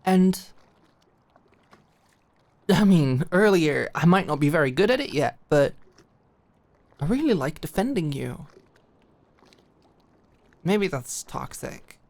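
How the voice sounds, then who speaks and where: A young woman playing a smitten, protective girl at camp in an intimate ASMR roleplay, speaking earnestly and haltingly. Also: sits low, lively intonation, darkish tone, dry recording, full character voice. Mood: smitten, tender. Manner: halting, earnest, giggly, stammering. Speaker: audio roleplay performer.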